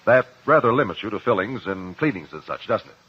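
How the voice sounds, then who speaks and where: A man playing a sharp, probing courtroom investigator in 1950s radio drama, formal and insistent. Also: on the low side, lively intonation, a little fast, slightly rough. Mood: pressing. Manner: insistent, formal cross-examining. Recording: muffled old radio recording.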